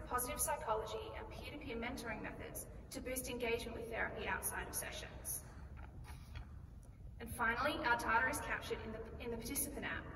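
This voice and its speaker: An Australian woman delivers a rehearsed pitch in a clear, measured voice that sits a little high. She sounds far from the microphone, and a long echo from a big hall trails after her words. Plenty of background noise runs under everything. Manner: clear, measured presentation delivery with rhetorical questions. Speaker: company founder pitching. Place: large conference hall. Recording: echoey hall recorded from far off, noisy.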